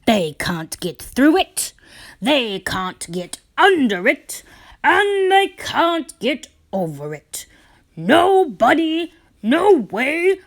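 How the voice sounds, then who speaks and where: A woman's voice: medium pitch, fairly bright tone, full character voice. A woman voicing a stubborn, boastful man gardener from a storybook, huffing and exclaiming as he gloats. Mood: boastful triumph.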